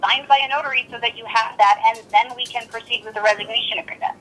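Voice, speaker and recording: Exasperated higher-pitched woman on the phone. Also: steady tone, fast, a bit bright. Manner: blunt, exasperated, swearing. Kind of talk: tense phone argument. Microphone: telephone. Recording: recorded telephone call.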